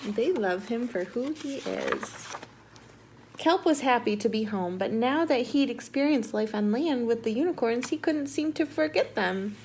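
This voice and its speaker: A woman reading a storybook aloud to young children in an animated, expressive teacher's manner. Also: fairly high pitch, slight background noise. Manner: animated, asks the listeners questions.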